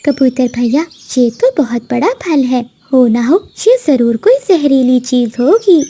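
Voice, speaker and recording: A woman plays a polite, careful little lady sparrow in a children's cartoon. Her voice is high and melodic in its swings, with slow, gentle delivery. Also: lively intonation, slightly rough, heavily acted. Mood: wary. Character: gentle, cautious female sparrow, sisterly and polite. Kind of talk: children's cartoon story acting.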